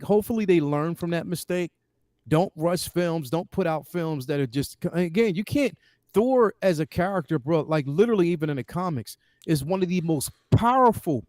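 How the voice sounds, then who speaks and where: A man's voice: sits high, a little mellow, no echo. A man co-hosting a casual film discussion, giving opinions emphatically and repeating his points for stress. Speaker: co-host.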